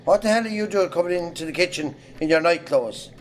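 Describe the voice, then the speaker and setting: A bossy, disapproving farmer , acted by a man, with a rural Irish accent and a slightly high voice. He scolds his grown children in gruff drama dialogue, with faint noise behind him. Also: brisk, close to an everyday voice. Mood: indignant, scolding. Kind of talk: amateur radio-style stage drama acting.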